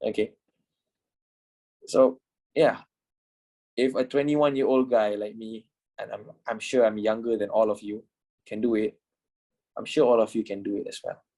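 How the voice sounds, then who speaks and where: A man's voice: fairly low pitch, fairly even intonation, normal speed, long pauses, warm tone. Young man in his twenties, trading instructor, casual, profane, repeats phrases, checks in with "you know?". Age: 20s. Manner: swears, repeats himself, keeps asking "you know?". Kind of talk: casual online class wrap-up.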